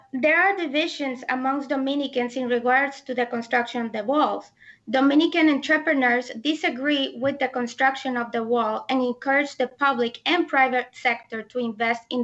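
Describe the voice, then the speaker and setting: A woman whose first language is Spanish speaks in a high voice with a gravelly edge, earnest and partly reading from notes. The recording sounds dull and lo-fi. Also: fairly bright tone. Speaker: Spanish interpreter, panel guest. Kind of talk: opinionated panel discussion.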